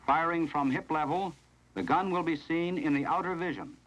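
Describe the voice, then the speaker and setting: An American man reading scripted narration in the formal, measured, instructional manner of a 1970s training film, on a vintage film soundtrack. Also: a little high, fairly bright tone, lo-fi sound.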